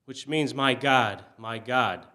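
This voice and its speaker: A man with a bright, keen-edged voice of average pitch reads scripture aloud in a solemn, dramatic church style. He keeps a measured delivery, leans hard into quoted words and lets the ends of phrases drop away softly. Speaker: scripture reader. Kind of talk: solemn dramatic Bible reading for a church service.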